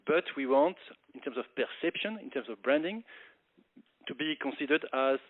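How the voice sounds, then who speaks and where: Halting male executive on a muffled call. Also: mid-range voice, lively intonation. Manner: halting, repeats words mid-sentence. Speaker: company executive answering analysts on an earnings call. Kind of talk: spontaneous business presentation and Q&A. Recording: muffled conference call audio.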